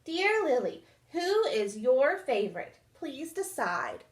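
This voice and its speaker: A woman's voice: high voice, very animated, slow, reverberant, pitched above the performer's own voice, full character voice. A woman voicing a proud, self-important old male cat in a children's storybook, speaking formally and condescendingly. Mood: pompous. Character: proud, smug, oldest male house cat.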